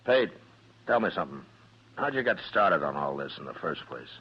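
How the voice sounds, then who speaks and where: An actor playing a steady, weary frontier marshal in a radio Western, with a measured, probing, laconic manner. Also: male voice, low voice, slightly rough, full character voice. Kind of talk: 1950s radio Western acting with first-person narration. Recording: dull vintage radio recording with music.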